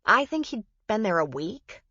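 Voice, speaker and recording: A woman's voice: medium pitch, sing-song, moderate pace, sharp tone, husky, no room sound, dull audio, pitched above the performer's own voice, heavily acted. A woman narrator voicing an embarrassed, bitter mother in an audiobook, confessional and self-reproachful. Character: embarrassed, bitter mother confiding about a con man.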